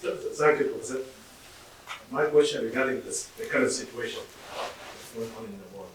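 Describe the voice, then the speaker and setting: Halting man far off in a noisy echoey hall. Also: medium pitch. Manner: halting, stammering start, non-native phrasing. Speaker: audience member asking a question. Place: library event room. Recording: very echoey, distant, noisy room.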